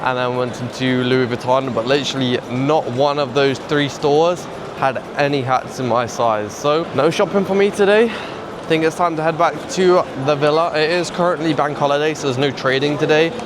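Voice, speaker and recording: A man's voice: fairly high pitch, steady tone, normal speed, background noise. A man in his twenties chatting casually to viewers in a vlog, recorded from the back seat of a car and in a mall. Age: 20s. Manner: chatty, talks straight to viewers. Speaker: vlogger.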